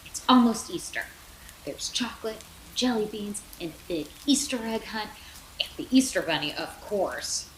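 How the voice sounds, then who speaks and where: A woman's voice: mid-range voice, expressive, normal speed, lightly acted. A woman voicing an eager, bossy little girl in a storybook, exclaiming as she talks. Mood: delighted. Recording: roomy echo, background noise.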